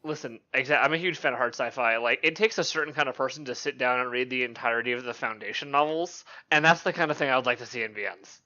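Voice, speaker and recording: A man's voice: medium pitch, quick pace, few pauses, pushed and bright. A man joining a casual podcast discussion, enthusiastic and joking, recorded on a dull lo-fi mic.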